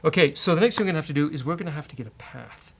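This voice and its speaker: Gravelly man thinking aloud while coding. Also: fairly high pitch, animated, rapid-fire, fairly bright tone. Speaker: developer and show host. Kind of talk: casual live coding commentary, thinking aloud. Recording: faint background noise.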